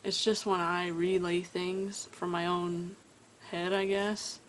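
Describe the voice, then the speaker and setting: Woman, stutters, halting pauses, lowish voice, casual home vlog. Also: level tone, a little hiss or hum, rough recording. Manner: stutters and pauses mid-sentence. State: tired. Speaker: vlogger. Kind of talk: casual talk to camera. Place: at home indoors.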